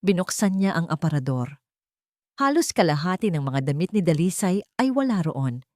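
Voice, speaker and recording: A woman with a fairly low voice narrates a scripted drama. She speaks as a storyteller, recorded close and dry with no room sound. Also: very animated, normal speed, a little mellow, dry recording.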